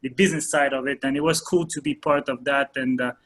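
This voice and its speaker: A man in his thirties from France, a soccer coach answering interview questions in a hesitant way with many pauses and fillers. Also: medium pitch, fairly even intonation, a bit bright.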